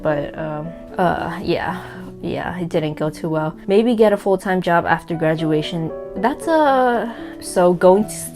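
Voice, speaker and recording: A young woman in her twenties, a native Cantonese speaker, with a slightly low voice. She reads and reacts in a candid, reflective, self-mocking way. Guitar music plays clearly underneath. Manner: candid, reflective, self-deprecating with soft laughs. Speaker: vlogger. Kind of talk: casual reading of a personal letter. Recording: guitar music playing behind.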